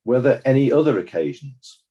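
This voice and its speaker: A man playing a formal, probing examiner who puts questions in a measured, official way in a dramatized inquest. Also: medium pitch, expressive, dark tone, muffled recording, close to an everyday voice.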